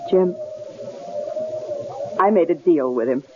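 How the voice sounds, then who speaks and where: An actress playing a frightened, devoted captive woman in a 1970s radio drama, pleading earnestly and haltingly. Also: sits low. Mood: desperate resolve. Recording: muffled, hissy old radio broadcast.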